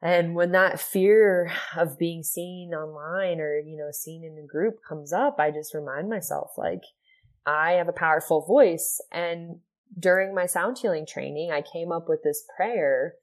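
Low-voiced earnest woman sharing her story. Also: unhurried. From Ohio. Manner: earnest, soft-spoken, many "like" and "you know" fillers. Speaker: podcast guest, yoga and sound healing teacher. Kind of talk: reflective personal storytelling.

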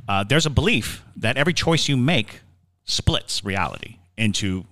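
A man's voice: mid-range voice, expressive, normal speed, a bit bright. A man co-hosting a conversational paranormal podcast, explaining his ideas in a musing way and often repeating words as he gathers them. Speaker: podcast co-host.

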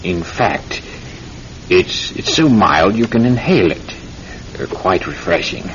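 A genial shopkeeper with a sly, ingratiating manner, in a classic radio play. His voice is deep and his talk soft-soaps the customer. The old recording is dull and noisy. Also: big pitch swings, unhurried, full character voice. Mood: coaxing. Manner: ingratiating, coaxing. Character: genial, sly, coaxing male tobacconist. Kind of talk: old-time radio drama acting.

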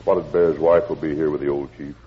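An actor playing a calm, resolute lawman hero in an old radio western, speaking with measured authority. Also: a bass voice, slight background noise. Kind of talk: 1950s radio western acting. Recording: dull old radio recording.

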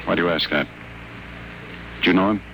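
An actor playing a guarded, secretive addict patient, confiding in low, careful tones, in 1940s radio acting style. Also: male voice, brisk, a bit bright, a little hiss or hum, rough recording.

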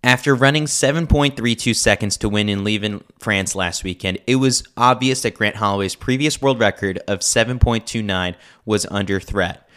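A man's voice: on the low side, moderate pace, hardly any pauses, a bit bright, clear, resonant tone. An American man narrating a scripted sports newsletter as a podcast host.

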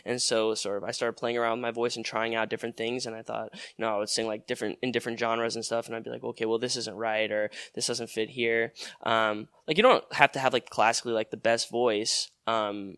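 A man's voice: medium pitch, little pitch movement, fairly quick, hardly any pauses, fairly bright tone, smooth, dry recording, clean studio sound. A man in his twenties, a musician being interviewed, talking in a rambling casual way with many fillers and restarts. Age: 20s. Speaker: musician guest.

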